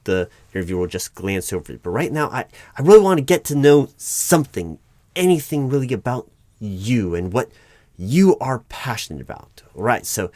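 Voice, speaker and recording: A man playing a patient but baffled corporate recruiting manager in a one-sided interview roleplay, speaking in a halting, stammering, diplomatic way. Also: medium pitch, big pitch swings, a little mellow, no room sound, lightly acted. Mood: politely probing.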